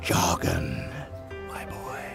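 An actor playing a cunning senior demon bureaucrat, a pompous, condescending mentor lecturing in an audio drama. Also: male voice, drawn-out words, music in the background. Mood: smug, scheming.

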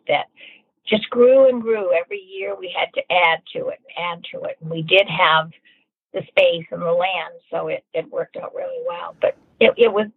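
A woman's voice: on the low side, vocal fry, breathy edge, no room sound. A woman in her sixties or older, fondly and reflectively telling her own story as an interviewee. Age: 60s or older. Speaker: former store owner, interviewee. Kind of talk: personal recollection, storytelling. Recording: muffled, narrow-band, dry recording.

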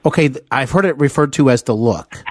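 A man's voice: medium pitch, a little fast, no room sound, clean studio sound. A man hosting a conversational podcast interview, effusive and wordy, feeling his way into each question. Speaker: podcast host interviewing a guest.

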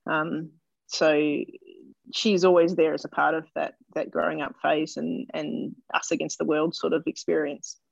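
A gravelly-voiced Australian woman talks through her answers slowly and thoughtfully, pausing and restarting as she gathers her words. She sounds like she's on a dull, lo-fi remote line. The room around her is dry and close. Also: low-pitched, level tone, dry recording. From Australia (Melbourne). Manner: thoughtful, hesitant, lots of fillers and restarts. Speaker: doctor and interview guest. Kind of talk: casual interview answers.

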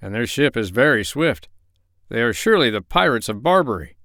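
A man voicing a loyal, earnest dog with a gruff, mumbling growl in a children's story. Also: mid-range voice, sing-song, fairly quick, bright, forceful tone, no room sound, studio quality. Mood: grave.

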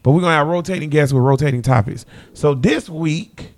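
A man, hosting a podcast and teasing his guests with loud laughter. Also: a little high, a little fast. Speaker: podcast host. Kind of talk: casual podcast banter.

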